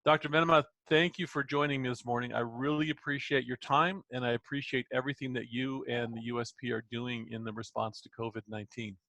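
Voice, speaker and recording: A man's voice: medium pitch, steady tone, quick pace, hardly any pauses, pushed and bright, no echo, poor audio. Middle-aged male host of a video-call webinar, businesslike, dry close sound. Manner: businesslike, repeats words when restarting. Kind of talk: webinar hosting.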